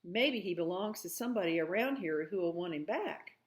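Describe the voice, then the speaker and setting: A woman voicing a practical frontier mother, reasoning in a folksy, gently firm way. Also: on the low side, expressive, brisk, lightly acted. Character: practical, fair-minded frontier mother of two boys.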